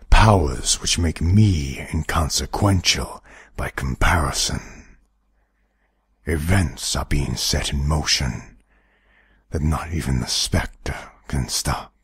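A man's voice: rumbling low, slightly rough, no room sound, heavily acted. A man voicing a solemn, all-knowing ghostly spirit of the cosmos in a portentous manner. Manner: slow, portentous.

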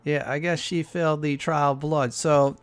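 A man's voice: on the high side, steady tone, moderate pace, clear, resonant tone. A man hosting a gaming channel, giving casual walkthrough commentary and explaining things in short, plain phrases.

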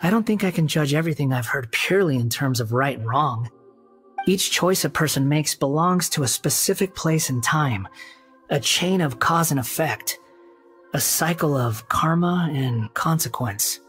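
A youthful wanderer, composed and guarded. He speaks formally and with measured reserve, in video game drama style, with faint music behind him. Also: a little high, expressive, a bit bright. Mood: reflective. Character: young male wanderer with lost past, polite, composed, guarded.

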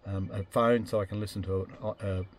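A man's voice: low-pitched, level tone, a little fast. A man in his fifties with an Australian accent, explaining things casually and matter-of-factly in a vlog, with some hesitation. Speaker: off-road camping vlogger. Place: inside a parked truck cab in the bush.

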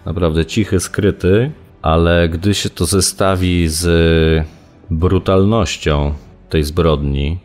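Very low-voiced man recounting, hesitantly. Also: a little mellow. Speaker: journalist giving an interview. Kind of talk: casual spoken recollection.

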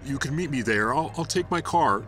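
A man's voice: mid-range voice, animated, moderate pace, fairly bright tone, natural voice. Man acting nervous doctor guy, pleading, stammery, audio drama with music under it. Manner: hesitant, stammering. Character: anxious, pleading male doctor, placating and evasive.

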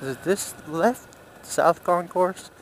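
A man's voice: high-pitched, slow, slight background noise. A man giving casual, rambling commentary while riding a motorcycle, thinking aloud as he goes. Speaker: motorcycle vlogger. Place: outdoors, riding a motorcycle on city roads.

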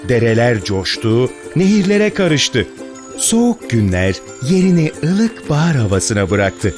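Man, children's fairy tale narrator, animated intonation, medium pitch, scripted storytelling, clear background music.

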